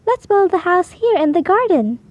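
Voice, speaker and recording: A woman's voice: very high voice, sing-song, normal speed, lo-fi sound, voice pushed up. A woman voicing an eager, dreamy, earnest little girl in a children's storybook. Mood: earnest, hopeful.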